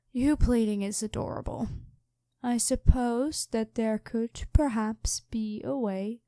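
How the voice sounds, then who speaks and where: A woman playing an imperious dragon queen in human form, speaking in a measured, condescending way in an ASMR roleplay addressed to the listener. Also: medium pitch, mellow, dry recording, clean studio sound, close to an everyday voice. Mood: commanding, condescending. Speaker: voice actor in an audio roleplay.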